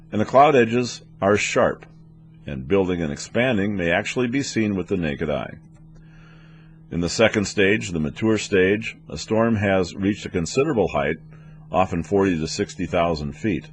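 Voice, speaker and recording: A man reading scripted training narration as an instructor, in a methodical, explanatory manner. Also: low voice. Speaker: weather instructor for air traffic controllers.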